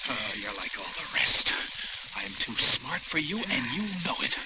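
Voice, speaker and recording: An actor playing a paranoid, deranged cook who gloats and taunts with menacing politeness in an old-time radio suspense drama. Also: male voice, mid-range voice, big pitch swings, moderate pace, natural voice. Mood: bitter, taunting. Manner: taunting, repeatedly addressing his victim with mock politeness. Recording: muffled vintage radio recording, loud crackling hiss.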